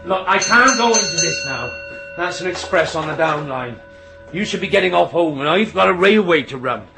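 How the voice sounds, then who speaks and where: A man's voice: on the high side, animated, normal speed, creaky, roomy sound, over music, poor audio. An actor playing a middle-aged signalman and father, stern, brusque and harried, in a naturalistic radio drama. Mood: exasperated, stern. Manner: brusque, exasperated.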